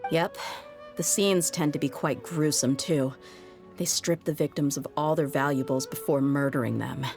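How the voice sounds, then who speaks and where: A low-pitched, world-weary young woman mercenary. She speaks frankly, with dry wit, as if in video game story dialogue. Faint music plays behind her. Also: normal speed, a little mellow. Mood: grim, troubled. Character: tough, jaded young woman desert mercenary.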